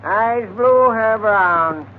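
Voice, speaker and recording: On a narrow, tinny old radio recording, a police booking clerk with an extremely high voice. He asks form questions slowly and officiously, in 1940s radio drama style.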